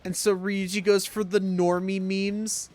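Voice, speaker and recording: A woman's voice: medium pitch, little pitch movement, unhurried, darkish tone. A young adult woman giving casual, jokey live commentary on a video game, full of rambling asides. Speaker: game streamer. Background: video game music.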